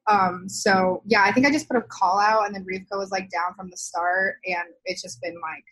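A woman's voice: mid-range voice, fairly even intonation, moderate pace, fairly bright tone, breathy edge, no echo, low-quality recording. A young adult woman being interviewed, talking casually in a loose, meandering way peppered with "like". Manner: heavy use of "like", loose and meandering. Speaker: interview guest, zine co-founder.

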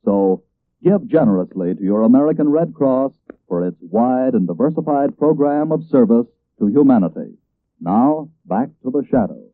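Male vintage radio announcer: formal, emphatic, very animated scripted read, thin dry broadcast sound. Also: medium pitch, normal speed, dry recording, phone-quality sound. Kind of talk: 1940s radio public-service appeal, scripted.

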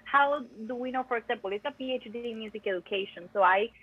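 A woman's voice: on the high side, quick pace, breathy edge, no room sound, lo-fi sound. A chatty, emphatic young woman with a Costa Rican Spanish accent, a native Spanish speaker, chatting as an interview guest. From Costa Rica. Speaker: podcast guest, music education PhD student. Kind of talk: casual interview chat.

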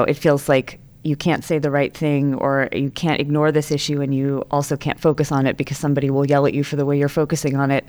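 A woman's voice: sits low, smooth. A woman hosting an interview podcast, chatty and enthusiastic as she talks with her guest. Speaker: podcast host. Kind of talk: casual interview chat.

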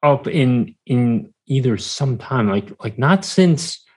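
A man with a mid-range voice and a slow pace talks casually as an interview guest. He is hesitant and mild-mannered, feeling his way haltingly through his sentences. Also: medium pitch, animated, a little mellow, slightly rough. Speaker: podcast guest.

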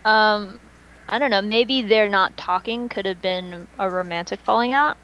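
A woman co-hosting a casual fan podcast, talking in an animated, slangy way and swearing casually. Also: medium pitch, measured pace, highs cut off, a little hiss or hum. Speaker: podcast co-host.

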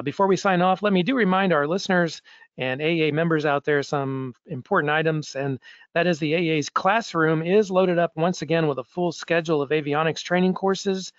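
A man's voice: on the high side, no room sound. A man hosting a podcast, asking questions and reading sign-off announcements in an upbeat, promotional way. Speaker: podcast host. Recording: dry, slightly dull audio.

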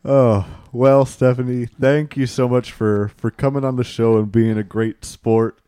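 A man's voice: medium pitch, steady tone, a little slow, mellow, clear, resonant tone, no echo, clean studio sound. A man hosting a casual streamed chat, thanking a guest and teasing playfully. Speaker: show host. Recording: noisy stream audio.

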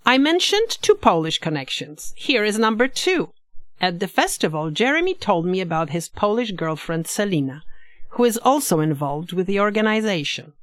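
A woman hosting a podcast, reading a scripted introduction in a lively, expressive way. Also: mid-range voice, moderate pace, dry recording. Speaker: podcast host. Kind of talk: scripted narration.

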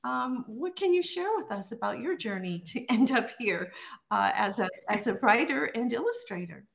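A woman's voice: on the high side, animated, moderate pace, vocal fry. A woman hosting a writing podcast, welcoming a guest and asking about her journey with enthusiasm. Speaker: podcast host. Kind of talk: interview host chat.

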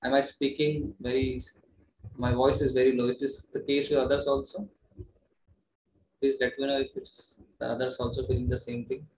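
A man's voice: mid-range voice, flat delivery, moderate pace, darkish tone. A man preaching on scripture to an online class, explaining earnestly, restarting his phrases as he goes. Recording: roomy echo with faint noise.

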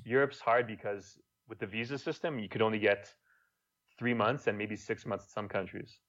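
A man's voice: on the low side, fairly even intonation, moderate pace, a bit bright, dull audio. A young man from Montreal with a French Quebec accent, casually telling stories as a guest and swearing now and then. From Montreal, Canada. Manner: laid-back storytelling, swears casually. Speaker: guest, YouTuber. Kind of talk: casual podcast chat.

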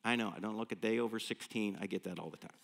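A man's voice: lowish voice, fast talker, gravelly. A man of fifty-nine with an American accent, preaching in a conversational, folksy, humorous storytelling way with rhetorical questions. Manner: animated, folksy, self-deprecating, punctuated with rhetorical questions.

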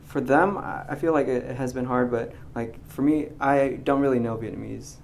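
A young man in his twenties, a graduating physics student, talking in a casual interview, soft-spoken and hesitant with many ums and restarts. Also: medium pitch, steady tone, normal speed, gravelly, slight background noise. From United States. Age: 20s.

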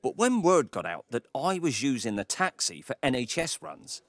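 Grumpy cabbie in a radio play, wry and confiding, voice goes up and down loads, dry close sound. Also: male voice, mid-range voice, big pitch swings, moderate pace, dry recording. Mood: exasperated, wry. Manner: wry, grumbling, confiding storytelling. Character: grumpy, reserved Cornish male taxi driver, reluctant local hero. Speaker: actor in an audio drama. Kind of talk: audio drama monologue.

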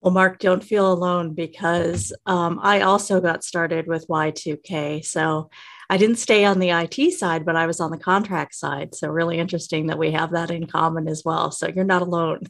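A middle-aged woman with a fairly low voice and a noticeable creak. She leads a podcast interview in a conversational way, putting questions to her guest. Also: fairly even intonation, normal speed.

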